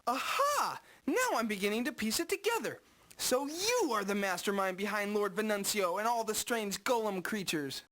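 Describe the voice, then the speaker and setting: A woman's voice: medium pitch, lively intonation, moderate pace, a bit bright, lightly acted. A woman voicing a precocious, polite young hero in a video game, with a formal, wordy, dry manner. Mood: wry. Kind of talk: video game cutscene acting. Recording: game music under the voice.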